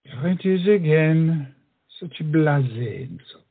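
A man's voice: fairly high pitch, sing-song, slow, vocal fry, slightly rough, exaggerated voice. An actor playing a pompous, witty swordsman in a comic stage play, theatrical and mocking. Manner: theatrical, mocking, peppers speech with French phrases. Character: pompous, flamboyant big-nosed French swordsman, witty and proud. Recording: muffled, tinny stage play recording.

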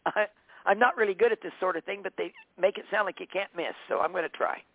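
Hoarse man on a phone line. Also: high voice, fast talker, no room sound. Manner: chatty, warm, self-correcting, laughs often. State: hoarse, tired voice. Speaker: regular guest on a talk show. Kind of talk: casual radio show chat. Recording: dry, phone-line sound.

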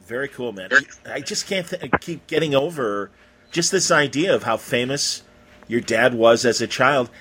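A man's voice: medium pitch, moderate pace, fairly bright tone, a little hiss or hum. A man interviewing casually, with an enthusiastic, affirming and rambling way of talking.

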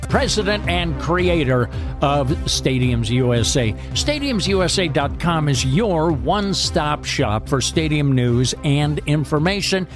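A radio host with a slightly higher male voice reads his questions and segment intros at an unhurried pace. His delivery is measured and announcer-like, with faint music in the background. The sound is dry and close. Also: animated.

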